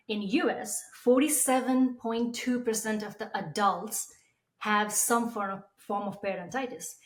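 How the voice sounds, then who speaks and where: A woman from Nepal with a Nepali accent, explaining as an expert guest in an interview. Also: mid-range voice. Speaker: periodontist guest. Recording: roomy, echoey sound.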